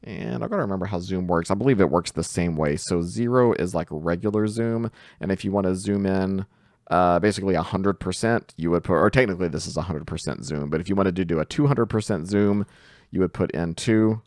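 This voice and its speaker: A man's voice: deep voice, brisk, a little mellow, no echo, clean studio sound. A man narrating a screen-share tutorial in a casual, informal way, explaining things step by step as he goes. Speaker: tutorial host.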